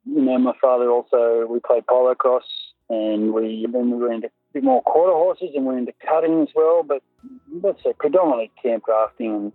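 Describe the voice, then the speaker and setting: A man's voice: mid-range voice, fairly quick, no room sound. A man in his forties with an Australian accent, a guest in an interview, telling his story in an easygoing, rambling way with many ums. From North Coast of New South Wales, Australia. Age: 40s. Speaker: guest, dressage rider and coach. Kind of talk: relaxed interview chat. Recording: tinny phone-like line with faint music.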